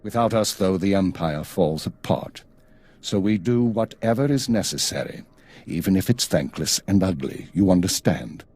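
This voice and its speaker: An actor playing a stern, weary intelligence chief in a video game, formal, clipped and measured. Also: male voice, low-pitched, lively intonation, a little mellow, breathy edge. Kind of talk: video game cutscene acting.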